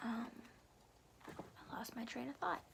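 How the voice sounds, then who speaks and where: A woman speaking in a soft, breathy whisper in an informal, unhurried ASMR style, as host of a read-aloud series. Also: on the high side, noisy background. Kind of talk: whispered ASMR, casual rambling chat and storybook reading.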